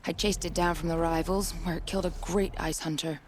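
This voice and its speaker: A woman's voice: lowish voice, flat delivery, a little fast, a little hiss or hum, natural voice. A woman playing a proud, blunt huntress in a video game, talking with scorn. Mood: scornful. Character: proud, scornful woman hunter craving challenge.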